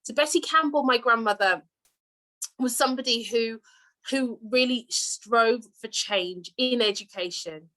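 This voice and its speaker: A woman's voice: a little high, fairly bright tone, low-quality recording. A woman with an English accent, a deputy head teacher, giving an earnest conference talk over a video call, with many fillers and repeated words.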